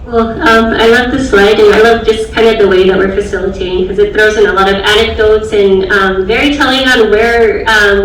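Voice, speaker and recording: A woman's voice: fairly high pitch, a bit bright. A woman who works in community mental health outreach, talking in a chatty, upbeat way on a panel. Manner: chatty, upbeat, laughs easily. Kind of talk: casual panel talk. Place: large auditorium stage. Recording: echoey hall from far off, faint noise.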